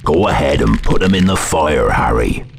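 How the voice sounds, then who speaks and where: An actor playing a big, gruff, kindly groundskeeper in a video game. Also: male voice, extremely low pitch, lively intonation, moderate pace, music in the background, full character voice. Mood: warm, proud. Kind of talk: video game character acting.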